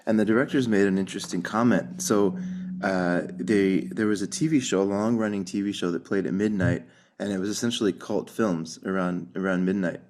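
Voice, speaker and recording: A man hosting a film podcast, his voice sitting deep and low. He talks casually and eagerly, full of curious questions and quick asides. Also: a little mellow. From United States. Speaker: podcast host. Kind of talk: casual interview chat.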